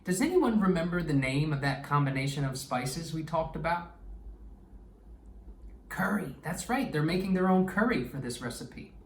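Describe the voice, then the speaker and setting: A man reading a children's story aloud expressively and pausing to explain things to young listeners. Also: a little high, lively intonation. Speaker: children's storytime reader and educator. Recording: echoey room, distant microphone.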